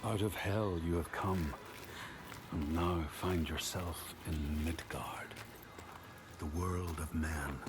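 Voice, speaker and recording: A profoundly deep male spirit storyteller in a mythic game. His delivery is slow, solemn and grave, over clear background noise. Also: steady tone. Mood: solemn counsel.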